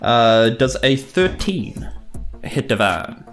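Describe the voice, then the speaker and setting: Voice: medium pitch, big pitch swings, slow pace, fairly bright tone. A person with a New Zealand accent chatting playfully and dramatically as a player in a tabletop game. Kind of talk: casual game chat. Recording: music bed behind voice.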